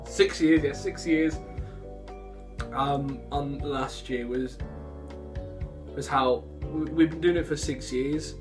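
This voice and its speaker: A man's voice: a little high, steady tone, slow pace, long pauses. A young man chatting casually to viewers as a vlogger, rambling and interrupting himself. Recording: music behind the voice.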